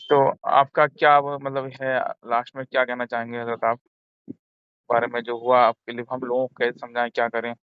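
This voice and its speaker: A man speaks quickly in a clean, smooth voice with little pitch movement. He is respectful and agreeable in a casual phone conversation, heard through a slightly dull recording. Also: flat delivery, no echo. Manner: agreeable, deferential, frequent short affirmations. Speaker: mufti on a phone call. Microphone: phone call.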